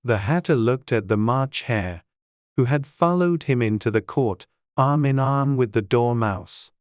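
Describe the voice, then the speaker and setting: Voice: mid-range voice, measured pace, a little mellow, dry recording, studio quality. An audiobook narrator reading a scripted storybook.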